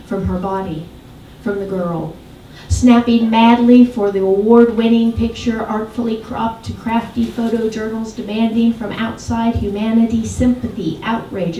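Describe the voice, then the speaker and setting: A woman giving a poetry reading, reciting with deliberate, dramatic phrasing and emphatic lists. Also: mid-range voice, mellow. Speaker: poet. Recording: echoey room, distant mic, faint noise.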